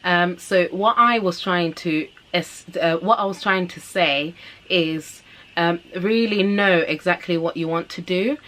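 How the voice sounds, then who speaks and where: A woman in her thirties with a Zimbabwean accent talks to camera in a casual advice vlog. Her voice sits a little low, and she is earnest and encouraging, leaning on repeated phrases to drive each point home. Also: measured pace, fairly bright tone. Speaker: vlogger and mother.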